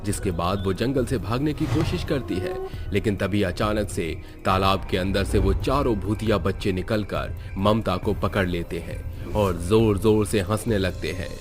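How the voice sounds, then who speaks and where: A man's voice: on the low side, normal speed, few pauses, music in the background. A man narrating a scripted horror story as a storyteller, in a dramatic style.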